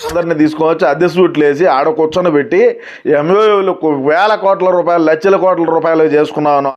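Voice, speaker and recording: High-pitched man doing political satire. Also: steady tone, quick pace, lo-fi sound.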